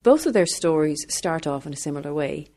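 A woman narrating a radio documentary in a measured, lightly amused storytelling manner. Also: low voice, expressive, mellow. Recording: music bed under voice.

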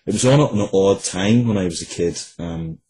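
A man giving a casual interview as a writer, in a halting, conversational way. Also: sits low, warm tone, husky, rough recording.

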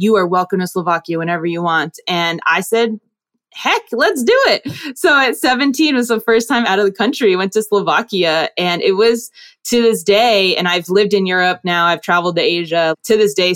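A woman from New Jersey in her thirties, a bubbly investor talking as a podcast guest, her voice leaping up when she quotes people. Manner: bubbly, animated, jumps up in pitch when quoting people. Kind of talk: podcast interview.

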